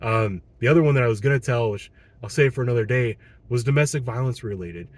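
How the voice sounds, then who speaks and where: A man in his thirties with a Midwestern American accent, speaking at a middling pitch. He is a patrol police officer talking on his own, hoarse as he loses his voice, frustrated and earnest, with frequent ums and restarts. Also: quick pace, dry recording. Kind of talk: solo monologue commentary.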